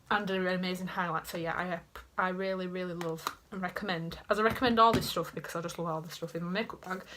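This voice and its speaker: Young woman, Yorkshire accent, bright tone, rambling chatty vlog, small bedroom. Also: mid-range voice, slight background noise. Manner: chatty, rambling, self-mocking asides. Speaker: beauty vlogger. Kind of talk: casual chat to camera. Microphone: camera in front at desk height.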